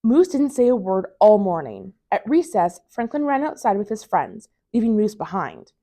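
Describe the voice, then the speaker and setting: A woman reading a children's picture book aloud as a library storytime reader, with expressive storytelling. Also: mid-range voice, moderate pace.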